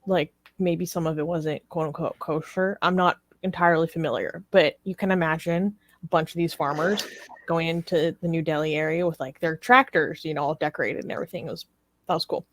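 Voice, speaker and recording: A woman's voice: low voice, steady tone, a little fast, dry recording. A woman co-hosting a talk show, chatting informally about news with plenty of asides. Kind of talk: casual chat about news.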